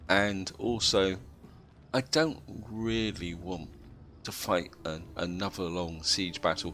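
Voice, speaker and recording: A man with a cold and a slightly croaky voice, calmly explaining his moves as he plays a strategy game. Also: sits low. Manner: steady, explanatory, thinks aloud. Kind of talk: gameplay commentary.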